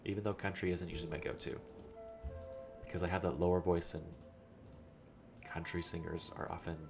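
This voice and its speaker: A young man with a low voice, chatting casually and thinking aloud while streaming a game. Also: little pitch movement, a little fast, gravelly, music in the background. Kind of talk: casual let's-play chat.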